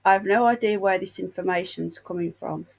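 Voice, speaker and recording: A woman's voice: lowish voice, fairly quick, creaky. A woman hosting a casual livestream, unwell with a painful chest, chatting in a gossipy, indignant storytelling manner. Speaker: livestream host.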